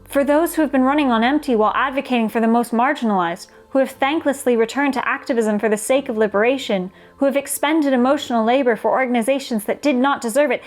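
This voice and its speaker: A woman reading scripted explanatory narration in a measured, formal and earnest way. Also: high-pitched. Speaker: guest contributor on activism.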